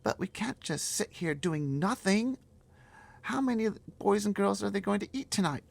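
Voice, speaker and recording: A man voicing a brave, indignant little orphan girl in a storybook reading, high, loud and pleading. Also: normal speed, creaky.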